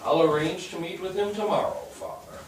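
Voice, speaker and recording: Dry, patient husband played by an actor, very echoey. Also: male voice, high voice, lightly acted. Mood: deferential. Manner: dryly teasing, measured. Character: dry, patient husband of 1860s society wife. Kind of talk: staged play reading. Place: theatre stage, recorded from a distance. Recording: very echoey stage, distant mic, faint noise.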